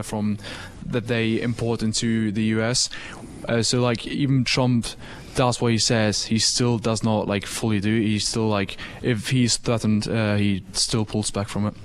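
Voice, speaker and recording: A man's voice: mid-range voice, a little hiss or hum. A young man from Germany, a student guest on live radio, speaking hesitantly with many ums and likes. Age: teens.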